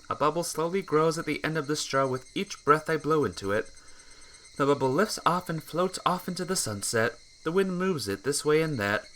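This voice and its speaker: A playthrough host reading a visual novel aloud as expressive, dramatic scripted narration. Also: medium pitch, slight background noise. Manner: dramatic reading, acts out dialogue.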